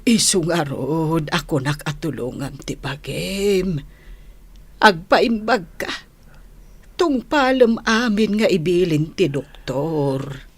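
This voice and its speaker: An actress with an Ilocano accent playing a worried, caring middle-aged mother in a radio drama, gently admonishing her son. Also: sits low, animated, takes it slowly, slightly rough, full character voice. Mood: caring, concerned. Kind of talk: Filipino radio drama acting.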